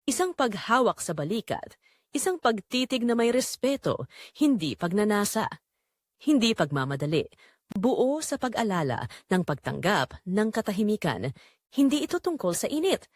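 A woman's voice: mid-range voice, very animated, normal speed, no echo, studio quality. A woman with a Filipino accent playing a tender, long-neglected middle-aged wife and mother, narrating her own romantic audio drama in an introspective, confessional way. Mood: tender, intimate.

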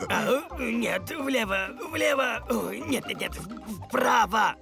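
A man voicing a modest, level-headed young gorgonops with a gravelly voice in a children's cartoon. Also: extremely high pitch, takes it slowly, over music, heavily acted. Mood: urgent. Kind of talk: Russian cartoon dubbing.

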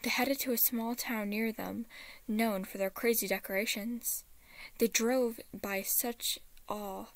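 A woman with a sore throat casually reading fan fiction aloud and hosting her channel, soft and a little whispery. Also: a little high, steady tone, slow, no room sound.

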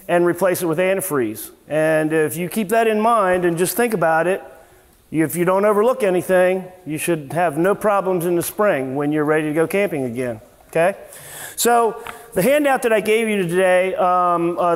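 A middle-aged man with a fairly high voice gives a casual, rambling seminar, full of fillers and restarts. He stands in a large workshop garage, heard from a distant camera. The sound rings with a long echo off the hard walls. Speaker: service manager. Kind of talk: informal seminar talk. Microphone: far camera, no mic on him. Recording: echoey garage from far off.

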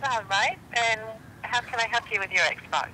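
A woman's voice: a little high, moderate pace. An American woman working as a customer support agent on a phone call, polite, formal and patient. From United States. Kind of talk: scripted phone customer service. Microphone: phone call heard over handset speaker. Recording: sharp phone speaker, faint background noise.

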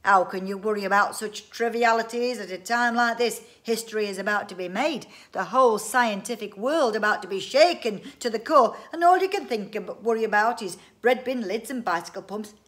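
A booming, grandiose male inventor from a storybook, acted out by a woman. He declaims theatrically and excitably, like an eccentric family man convinced of his own genius. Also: fairly quick, hardly any pauses. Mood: exasperated.

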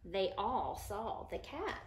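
A woman's voice: mid-range voice, very animated, slow pace, slight background noise. A woman in her thirties reads a children's picture book aloud expressively, explaining it and putting questions to children. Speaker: storytime reader, mother. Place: living room couch at home, indoors. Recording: roomy echo of a living room.

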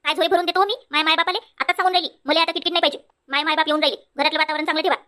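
Casting: woman as nagging cartoon village wife, rural Vidarbha Marathi, very high bright voice, fires off lines. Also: fairly even intonation, racing. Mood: irritated, scolding. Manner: scolding, nagging. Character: sharp-tongued, bossy village wife in a comedy cartoon. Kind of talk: cartoon comedy voice acting.

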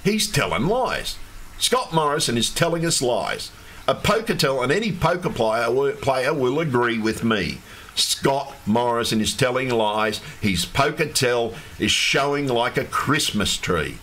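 A man, hoarse and losing his voice, ranting in an agitated, repetitive livestream monologue with some swearing. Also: medium pitch, animated, measured pace, fairly bright tone. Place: home room with fish tank. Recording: faint background hum from fish tank.

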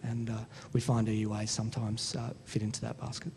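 A man's voice: lowish voice, monotone, a little fast, vocal fry, a little mellow. Male finance panelist, middle-aged, explanatory talk, hall reverb and faint background noise. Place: large hall panel event with audience. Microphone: microphone held close.

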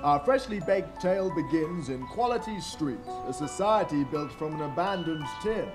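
A grandiose storybook narrator played by a man, pitched fairly high. He savours each alliterative phrase theatrically, with music clearly playing underneath in a theatre recording. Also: lively intonation, normal speed, close to an everyday voice. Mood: grand, savouring.

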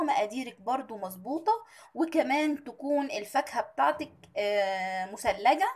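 An Egyptian woman hosting a home cooking tutorial, chatty and full of drawn-out hesitations, her voice a little strained and tired. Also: a little high. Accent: Egyptian Arabic. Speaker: cooking channel host.

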